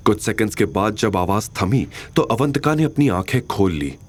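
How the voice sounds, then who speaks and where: A man's voice: on the low side, big pitch swings, normal speed, husky. A man narrating a scripted romantic action story in a dramatic storytelling style.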